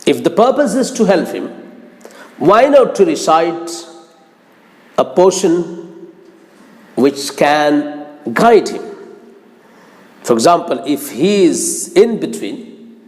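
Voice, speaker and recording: A man giving an instructive religious lecture as a teacher, deliberate and emphatic, repeating phrases for weight. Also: on the high side, big pitch swings. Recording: long hall-like reverb.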